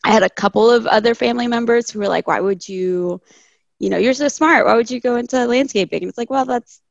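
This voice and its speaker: Gravelly, chatty young woman. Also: a little high, quick pace, dry recording, dull audio. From Chile. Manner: chatty, laughs often, quotes people in asides. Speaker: guest, landscape architect. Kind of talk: casual interview conversation.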